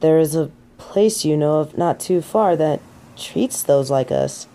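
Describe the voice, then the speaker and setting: A woman's voice: low-pitched, unhurried, warm tone. A woman playing a snobby, indignant fallen angel in an audio roleplay, with pompous, formal, archaic phrasing and an easily affronted manner. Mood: haughty, curious. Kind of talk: scripted audio roleplay acting.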